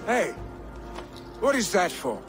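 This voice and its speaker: A man's voice: high voice, sing-song, slow, heavily acted. A young Viking warrior, son of a jarl, in a video game. He takes his time with his words, earnest and restless, with music playing behind him. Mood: restless, frustrated. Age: young adult. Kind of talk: video game cutscene acting.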